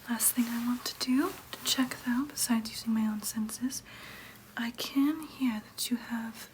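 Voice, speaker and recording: A breathy, whispering vampire nurse acted by a woman for an ASMR roleplay. She is gentle and soothing yet clinical, as if talking a patient through a routine procedure. Her delivery stays very even, with a faint wash of noise underneath. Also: a little high, a little slow, close to an everyday voice. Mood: pleased, attentive. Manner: soothing, clinical, hesitant asides.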